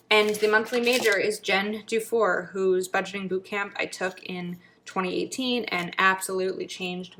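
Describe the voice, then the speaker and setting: A woman talks her way through a planner spread at her desk, her voice bright and a little raspy. She chats casually and trails off into quiet murmurs as she works. Faint clicks sit behind her. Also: fairly low pitch, a little hiss or hum, low-quality recording. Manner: chatty, thinking aloud while crafting, drops to murmurs. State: slightly raspy throat. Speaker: planner vlogger. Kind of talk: casual planning video chat.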